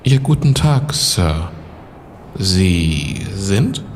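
A deep-voiced, courteous male doorman played by a man in an audio drama, heard in a long echoing hall with some noise. He speaks formally and firmly, with unhurried but lively phrasing. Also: full character voice. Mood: polite, puzzled. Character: polite, formal male doorman, puzzled, firm gatekeeper. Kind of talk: German audio drama acting.

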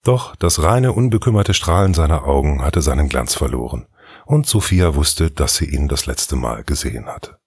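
A man with a husky voice narrating a scripted fairy tale in an expressive read-aloud style. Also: very deep voice, moderate pace, no echo. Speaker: storyteller, narrator.

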